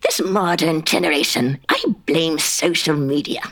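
A woman playing a scheming, exasperated evil queen in a comedic radio play, with a croaky, dramatic delivery. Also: mid-range voice, measured pace, lightly acted.

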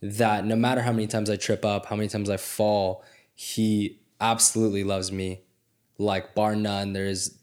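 Low, flat-voiced male podcast host. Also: normal speed. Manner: affirming, reflective, frequent "mm-hmm". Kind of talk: casual faith conversation.

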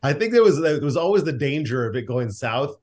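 A man's voice: a little high, big pitch swings, a little fast, a little mellow, husky. A man, a director and writer, guesting on a podcast, easygoing, laughing often as he tells stories. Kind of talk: casual interview.

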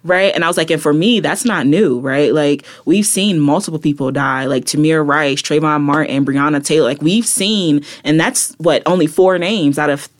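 A woman's voice: deep voice, moderate pace, few pauses. Passionate young woman, conversational. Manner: passionate, many "like" and "right?" asides. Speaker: podcast guest, poet and activist. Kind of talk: reflective casual interview talk.

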